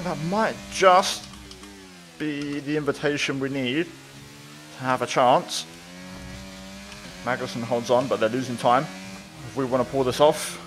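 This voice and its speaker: A man giving live commentary on a game as he plays it, thinking out loud. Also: mid-range voice. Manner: thinks aloud while playing. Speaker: gaming video creator, player.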